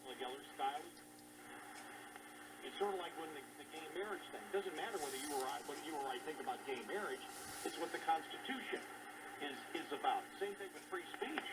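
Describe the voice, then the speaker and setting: A man talks opinion on the radio in a highish, very animated voice, circling back on his points with emphasis. He comes through distant shortwave reception with plenty of static. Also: brisk. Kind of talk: conversational opinion talk on radio. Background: clear static noise.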